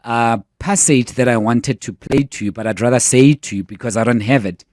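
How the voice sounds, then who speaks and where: A man's voice: medium pitch, fairly quick, darkish tone, no echo. A male radio host with a mid-range voice asks questions and keeps nudging his guest along. The studio sound is dry and close to the mic. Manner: engaged, affirming listener. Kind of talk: radio interview.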